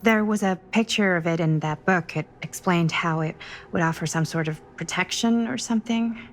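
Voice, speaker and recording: A woman's voice: lowish voice, normal speed, a little hiss or hum, lightly acted. An actress voicing a guarded, skeptical young woman in a naturalistic horror game drama, weary but level-headed.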